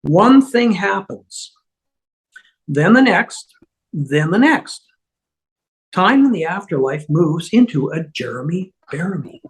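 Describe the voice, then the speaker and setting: A woman reading the part of a flustered, apologetic male otherworldly schemer, husky and halting as she stammers through explanations. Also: fairly low pitch, big pitch swings, heavily acted, low-quality recording. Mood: flustered explaining. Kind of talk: amateur script table read.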